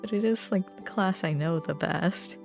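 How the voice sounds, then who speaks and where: A woman's voice: lowish voice, normal speed, gravelly, a little mellow, soft background music. A woman livestreamer chatting casually with viewers in a rambling, self-deprecating way. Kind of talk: casual gaming stream chat.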